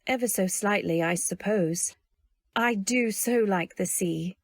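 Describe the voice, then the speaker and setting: A woman playing a timid, earnest young wife who tells her tale in an old-time radio drama, gentle and polite. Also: mid-range voice, slow, dry recording, studio quality. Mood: tentative. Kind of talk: old-time radio drama, first-person narration and dialogue.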